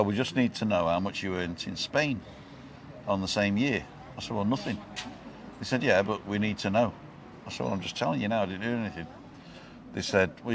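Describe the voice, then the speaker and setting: A man in his sixties with a fairly low voice talks to camera like an old friend. His jokes are dry and teasing, and he tells stories at an easy ramble. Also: background noise. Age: 60s. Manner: joking, teasing storyteller. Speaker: vlog host. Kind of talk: casual chat.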